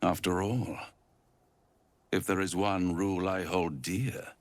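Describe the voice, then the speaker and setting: An actor playing a suave, smug, silver-tongued devil in a fantasy game, pompous and florid, savouring each phrase. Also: male voice, low-pitched, steady tone, slow, no room sound. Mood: grave, warning. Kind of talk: theatrical fantasy game acting, rhyming and grandiose.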